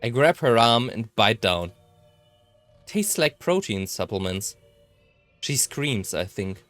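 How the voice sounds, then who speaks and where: A man reading a visual novel aloud in a halting, slightly theatrical way. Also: fairly low pitch, big pitch swings, fairly bright tone, no room sound, faint music behind. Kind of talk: expressive read-aloud narration of a visual novel.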